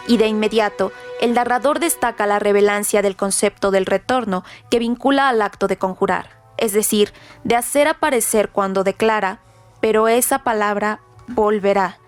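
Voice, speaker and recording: A woman narrating scripted literary commentary in a formal, rhetorical manner. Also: mid-range voice.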